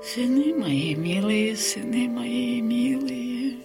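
An actress playing a tender, grieving old Cossack mother in an audio drama, lamenting in a plaintive, drawn-out way. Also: fairly high pitch, music in the background. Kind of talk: Russian audio drama acting.

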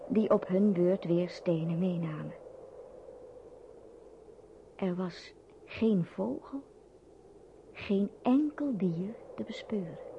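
A woman reading scripted narration for a radio play. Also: on the low side. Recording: muffled noisy old radio recording.

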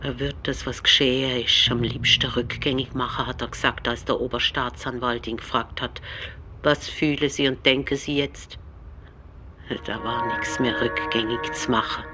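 Deep-voiced village storyteller. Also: female voice, steady tone, normal speed, bright, forceful tone, a little hiss or hum. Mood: grave. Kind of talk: dramatic storytelling in regional idiom. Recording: music under the voice.

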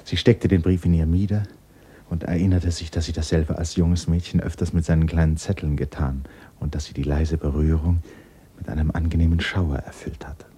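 An actor as a grave, intimate storyteller, narrating a literary radio play in a measured, hushed way. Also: male voice, extremely low pitch, little pitch movement, husky, full character voice.

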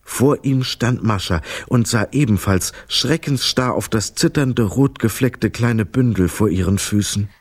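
A man narrating a children's radio play as a scripted story, with expressive, dramatic delivery. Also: lowish voice, a little slow, few pauses, a little hiss or hum.

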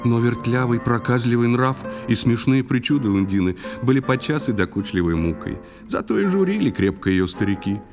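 Animated male storyteller over music. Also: mid-range voice, a little fast, hardly any pauses. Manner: expressive, lyrical storyteller. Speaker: narrator in a children's musical audio play. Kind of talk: dramatic verse narration, audio storytelling. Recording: music under the voice. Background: guitar and instrumental music.

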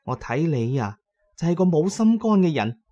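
A man's voice: on the high side, very animated, normal speed, dark tone, muffled recording, voice pushed up. Man voicing a sneering undead bride. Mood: cold scorn. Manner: sneering, accusing. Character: cold, scornful female corpse, menacing undead bride. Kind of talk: dramatised horror storytelling.

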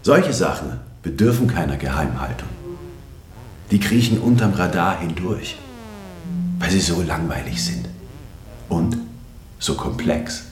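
An actor playing a secretive, wry corporate insider who addresses listeners directly in a radio drama monologue, recorded in a roomy space. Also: male voice, sits low, animated, unhurried, long pauses, background noise. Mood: wry, confiding. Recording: roomy echo with faint background ambience.

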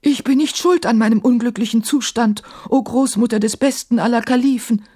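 A woman's voice: a little high, fairly quick, dark tone, breathy edge, voice pushed up. A woman voicing a touchy, defiant male djinn in a storybook, plaintive and ornately courtly. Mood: plaintive, hurt.

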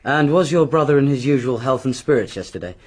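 An actor playing a brisk, self-assured police inspector with a British accent and a clipped, businesslike manner in a radio drama. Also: male voice, medium pitch, lively intonation, darkish tone, natural voice. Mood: brisk, questioning.

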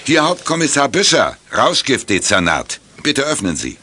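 An actor playing a calm, middle-aged chief inspector with a measured official manner in a German children's audio drama. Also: male voice, medium pitch, a little hiss or hum. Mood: stern.

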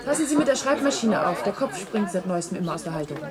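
An actress playing a breezy, practical office colleague in a science-fiction radio drama, talking in a lightly teasing, conversational way. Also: female voice, mid-range voice, very animated, quick pace, over a noisy crowd, lightly acted. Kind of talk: German radio drama acting.

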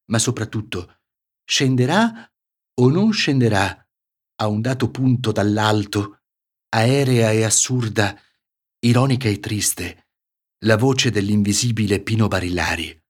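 Measured male literary narrator from Ferrara. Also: lowish voice, expressive. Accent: Ferrarese, northern Italian. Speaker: actor reading a short story. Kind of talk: literary audiobook narration for radio.

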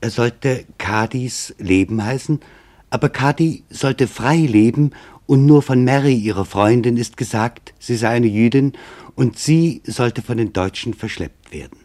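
A man's voice: medium pitch, a little mellow, lightly acted. Solemn male chronicler, played by an actor. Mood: solemn, measured. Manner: solemn, deliberate. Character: grave, measured male chronicler reporting witness testimony. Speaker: narrator. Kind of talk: scripted German radio drama narration.

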